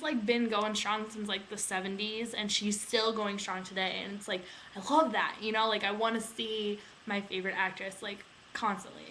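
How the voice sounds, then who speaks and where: A woman's voice: medium pitch, bright, forceful tone, slight background noise, poor audio. A young American woman chatting informally in a casual vlog, rambling and peppering her talk with filler words like "like" and "you know". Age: young adult. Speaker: vlogger, aviation student.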